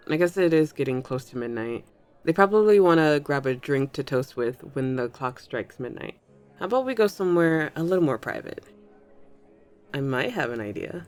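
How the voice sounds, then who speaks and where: A woman voicing a flirtatious thunder fae in an intimate audio roleplay, teasing and coy as she addresses the listener. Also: deep voice. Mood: inviting, teasing. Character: flirtatious thunder fae at a New Year's party, smooth charmer. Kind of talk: ASMR audio roleplay, intimate one-sided dialogue.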